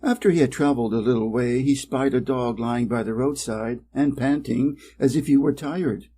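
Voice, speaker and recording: A man's voice: mid-range voice, normal speed, dark tone, slight background noise. A man from Wisconsin with an American accent, reading a fairy tale carefully and expressively for English learners. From Wisconsin, United States. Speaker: storyteller, English reading teacher.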